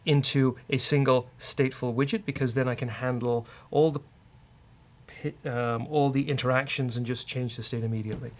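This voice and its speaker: A man's voice: medium pitch, steady tone, moderate pace. A man co-hosting a coding show, talking casually and hesitantly as he thinks aloud while working. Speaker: co-host coding on a software show.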